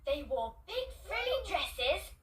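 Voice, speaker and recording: Female children's storyteller, very lively intonation, roomy distant sound with prominent music. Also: high voice, noisy background. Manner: animated, deliberate storybook delivery. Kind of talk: expressive children's story narration. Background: loud music.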